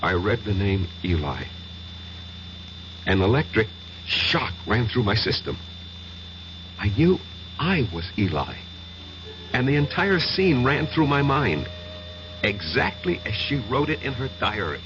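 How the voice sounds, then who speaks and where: An actor playing an agitated professor in a 1970s radio drama, speaking insistently and haltingly, often repeating himself. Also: male voice, lowish voice, sing-song. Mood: feverish, haunted. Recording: muffled, hissy radio drama recording.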